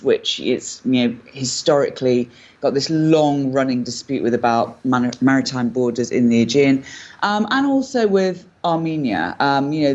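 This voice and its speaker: A woman correspondent reporting by remote link from Istanbul, explaining at length in a measured way with some fillers. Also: a bass voice, darkish tone, highs cut off. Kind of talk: live news report. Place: home in Istanbul.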